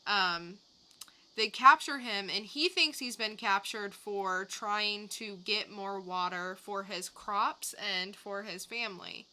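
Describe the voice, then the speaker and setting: Bright, slow-talking woman co-hosting a podcast. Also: medium pitch. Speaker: podcast co-host reviewing comics. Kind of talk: casual review chat.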